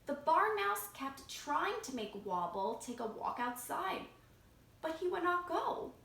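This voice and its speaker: A woman reading a picture book aloud to children as a library storytime reader, expressive and engaging them directly. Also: extremely high pitch, a little slow, vocal fry. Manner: expressive, asking young listeners questions. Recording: roomy echo, faint background noise.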